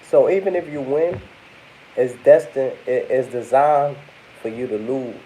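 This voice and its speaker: Young man, stuffy and a bit under the weather, mellow tone, loose rambling vlog chat, faint hiss. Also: mid-range voice, unhurried, low-quality recording. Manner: rambling, addresses viewers as y'all. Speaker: vlogger. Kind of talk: casual talk to camera.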